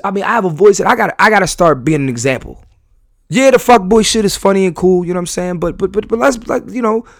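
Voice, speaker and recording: Passionate rambling podcast host. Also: male voice, sits high, no echo, clean studio sound. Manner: passionate, rambling with many restarts. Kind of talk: live podcast monologue to audience. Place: live venue.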